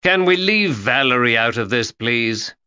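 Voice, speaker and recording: A man's voice: mid-range voice, lively intonation, unhurried, pushed and bright, clear, resonant tone, dull audio, pitched above the performer's own voice. A man voicing a weary, skeptical grown man who answers in a dry, exasperated way. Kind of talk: audiobook character voice.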